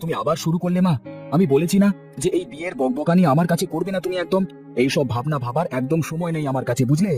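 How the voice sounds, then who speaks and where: A man's voice: on the high side, fast talker, darkish tone, lightly acted. A man playing a poor, put-upon grown son who sells street snacks, grumbling and pleading in a cartoon. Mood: weary, protesting. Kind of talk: cartoon voice acting. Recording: faint music bed behind.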